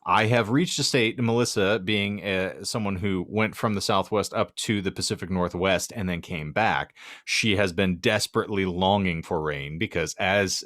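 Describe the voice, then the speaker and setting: A man's voice: low voice, a bit bright, no room sound, studio quality. A man co-hosting a casual comedy podcast, talking in a wry, wordy and irreverent way. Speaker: podcast co-host.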